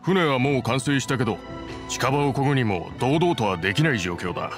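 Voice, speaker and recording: A man's voice: medium pitch, animated, fairly quick, music in the background, natural voice. A man voicing a loyal young boatbuilder in an anime game, speaking in a casual, blunt masculine way. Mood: wary, matter-of-fact. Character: young boatbuilder, wary but loyal, easygoing friend.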